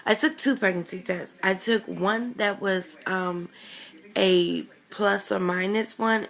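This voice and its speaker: A young woman vlogging casually with a raspy voice from a chest cold, rambling with many ums and self-corrections. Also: mid-range voice, a bit bright. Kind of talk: casual chatty vlog.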